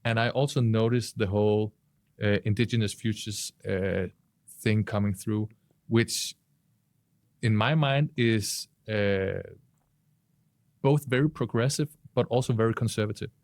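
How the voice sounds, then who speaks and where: A man speaking conversationally on a podcast panel, with hesitant, deliberate phrasing. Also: lowish voice, a little mellow, no room sound.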